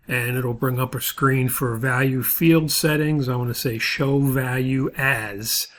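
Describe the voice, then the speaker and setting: Male tutorial instructor, mid-pitched and creaky, talking learners through software steps in an informal, think-aloud way. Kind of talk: screen-recorded software tutorial, step-by-step.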